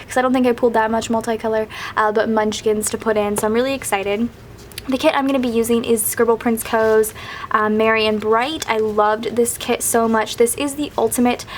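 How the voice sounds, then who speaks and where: A woman's voice: on the high side, a little hiss or hum. A young woman chatting casually and a bit rambling while she works on her planner, sounding sniffly from crying. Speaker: planner vlogger. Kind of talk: casual craft vlog chat.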